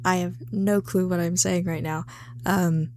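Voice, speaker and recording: A woman ASMR creator in a casual, unscripted chat, flustered and self-deprecating, often dropping into soft, breathy tones. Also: fairly low pitch, steady tone, measured pace, slight background noise.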